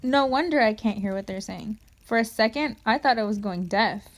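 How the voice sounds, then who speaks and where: A woman's voice: on the high side, normal speed, natural voice. A woman playing an earnest, concerned young grandchild in a radio advert skit, with a plain delivery.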